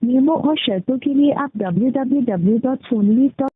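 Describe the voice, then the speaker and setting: A woman's automated phone menu voice reading scripted prompts in a formal, measured, instructional manner. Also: high voice. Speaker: automated phone line announcer. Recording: muffled, phone-like, roomy call playback. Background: phone call recording played back.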